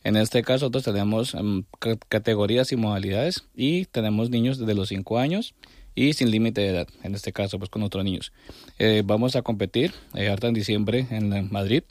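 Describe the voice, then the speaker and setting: A man's voice: on the low side, brisk, a little mellow, no room sound, studio quality. A middle-aged man with a Colombian accent, giving conversational answers as a club director in an interview. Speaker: sports club director and coach, interview guest.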